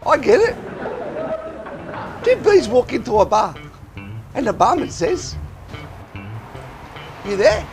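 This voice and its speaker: Comedy sketch: man playing a shrill, eager neighbor kid, wildly swooping pitch, music behind. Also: huge pitch swings, takes it slowly, pauses often, crowd noise, heavily acted. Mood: excited.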